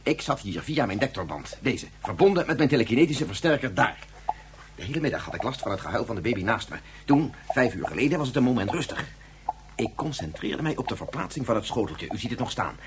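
An actor playing a proud, volatile physicist in a science fiction radio play, speaking formally and with exasperated scorn. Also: male voice, medium pitch, big pitch swings, quick pace, noisy background, natural voice. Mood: urgent, explaining. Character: proud, frustrated, imperious male scientist, volatile and menacing.

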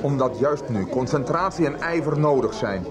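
An actor in a radio soap playing a stern, pompous schoolteacher who admonishes formally. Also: male voice, mid-range voice, lively intonation, moderate pace, a loud crowd, natural voice. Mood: stern, scolding.

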